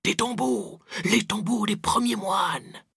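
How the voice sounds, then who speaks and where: A man's voice: high-pitched, slow, creaky, fairly bright tone, breathy edge, no echo, clean studio sound, pitched above the performer's own voice, exaggerated voice. A man voicing a cowardly, whiny schoolboy who whimpers, stammers and grumbles in an audiobook adventure story. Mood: awed, fearful. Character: Pépé Cuvert, cowardly whiny schoolboy, easily terrified.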